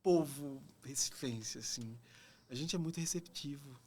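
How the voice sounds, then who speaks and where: A man's voice: medium pitch, unhurried, darkish tone, breathy edge. Earnest Pernambuco-accented young man. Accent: Pernambuco Portuguese. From Pernambuco, Brazil. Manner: reflective, earnest, many fillers. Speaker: actor, podcast guest. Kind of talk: casual interview talk.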